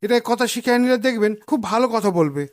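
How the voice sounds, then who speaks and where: A man's voice: very high-pitched, animated, quick pace, no room sound. A man voicing a deferential, coaxing bird seller in a cartoon. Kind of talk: cartoon voice acting.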